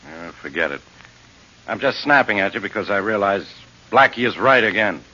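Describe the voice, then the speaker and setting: A man's voice: on the low side, animated, moderate pace, pushed and bright. An actor playing a brusque, irritable police inspector in a 1940s radio drama, snapping his words out. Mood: exasperated. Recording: muffled old radio broadcast, faint hiss.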